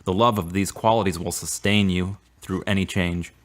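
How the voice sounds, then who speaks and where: A man playing an earnest, devout young man in a radio drama, with measured, formal, preachy phrasing. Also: sits low, steady tone, lightly acted. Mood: gentle, earnest.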